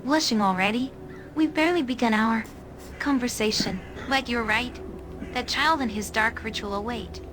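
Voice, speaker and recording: A woman's voice: on the high side, normal speed, lightly acted. Woman doing ancient evil seductress from a fantasy game, teasing n theatrical, bit of background noise. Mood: teasing, seductive. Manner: teasing, sly, elegantly theatrical. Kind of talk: in-character game companion dialogue.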